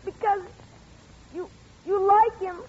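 Casting: young boy, extremely high voice, haunted and resentful, for vintage radio thriller, muffled old recording. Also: fairly even intonation, slow. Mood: tearful, resentful. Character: frightened, stubborn young boy, grieving and resentful. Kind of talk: 1940s radio suspense acting.

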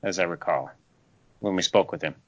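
Brief: laid-back male podcaster, lower pitch, muffled audio. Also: moderate pace. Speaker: podcast co-host. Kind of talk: casual chat.

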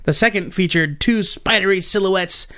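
A man co-hosting a comics podcast, reading news items in a measured, dry way and chatting. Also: sits high, fairly bright tone. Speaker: podcast co-host.

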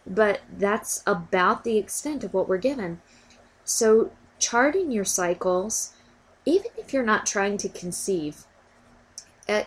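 A woman's voice: mid-range voice, breathy edge. A woman hosting a podcast, talking casually and a little stuffy from a cold, often repeating words as she thinks. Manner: warm, informal, repeats words while thinking. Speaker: podcast host. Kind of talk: casual educational podcast talk.

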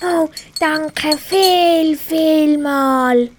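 A young performer acts as a small, polite, clumsy bear in a homemade radio play. The voice is high and childlike. The bear answers slowly and haltingly. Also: noisy background. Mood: sheepish, grateful. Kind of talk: amateur school radio play.

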